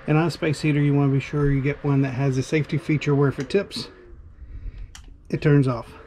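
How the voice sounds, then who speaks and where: Southern middle-aged man, mellow and even-toned, casual how-to vlog, faint outdoor hiss. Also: medium pitch, low-quality recording. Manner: easygoing, plain-spoken, with frequent uh fillers. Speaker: RV vlogger. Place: outdoors at a snowy campground and inside an RV.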